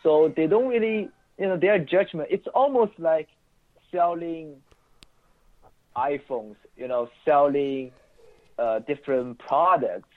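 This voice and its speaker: A man's voice: fairly high pitch, takes it slowly. A Chinese-accented male host, native Chinese speaker, chatting through politics on a community outlet's interview show, heard as if down a narrow telephone line. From Chinese-speaking region, immigrated to Canada. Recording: thin phone-like sound.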